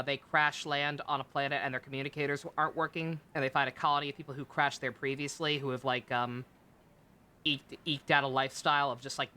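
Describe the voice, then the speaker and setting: A woman's voice: rumbling low, pushed and bright. A woman streaming a video game with casual, exasperated, sweary commentary.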